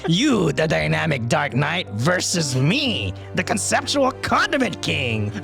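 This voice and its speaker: A man playing a pompous comic-book supervillain, delivering a grandiose, hammy monologue with drawn-out punning flourishes. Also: on the high side, sing-song, vocal fry, over music, full character voice. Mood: gloating, theatrical. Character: pompous, theatrical male comic-book supervillain stand-up comedian.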